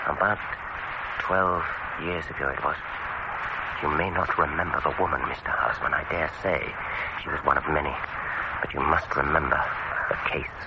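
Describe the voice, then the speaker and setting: An actor playing a sinister dentist in a radio drama, soothing and condescending with calm menace. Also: male voice, rumbling low, normal speed. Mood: cold, menacing. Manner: soothing, condescending, calmly threatening. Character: sinister, smoothly menacing dentist toying with his trapped patient. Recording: muffled, heavily noisy old radio recording.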